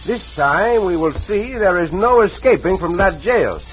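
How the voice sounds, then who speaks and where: An actor playing a suave, courtly caballero hero in an old-time radio drama. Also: male voice, high-pitched, very animated, measured pace, highs cut off, over loud music. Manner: courtly, polite, smooth. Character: suave gallant Mexican caballero hero, man. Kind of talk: 1940s radio acting. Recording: hissy old radio recording.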